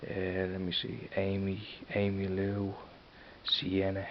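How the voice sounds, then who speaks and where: Man, thirties, Dublin accent, deep flat voice, rambling, dull hissy lo-fi recording. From Ireland. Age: 30s. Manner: rambling, halting, many restarts. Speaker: vlogger. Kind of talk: casual off-camera vlog, listing words. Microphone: camera mic, filming a screen. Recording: dull lo-fi sound with faint hiss.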